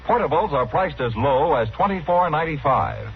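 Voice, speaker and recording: A man's voice: fairly high pitch, big pitch swings, moderate pace, vocal fry. An American man reading a scripted 1950s radio commercial with polished, enthusiastic salesmanship. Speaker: commercial announcer. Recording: muffled vintage radio recording, faint hiss.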